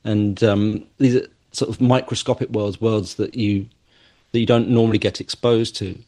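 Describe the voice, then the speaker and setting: Male interview guest, lowish voice, thoughtful, stops and restarts often. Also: fairly even intonation, normal speed, dark tone. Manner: hesitant, thoughtful, with many fillers and restarts. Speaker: musician and competition judge.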